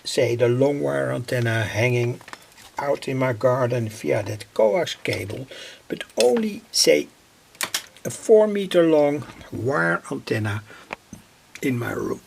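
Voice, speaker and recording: A man with a Dutch accent and a creaky, gravelly voice, giving a casual, halting, unscripted demonstration as an electronics hobbyist. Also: mid-range voice, lively intonation, a little hiss or hum. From Netherlands. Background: shortwave radio playing stations.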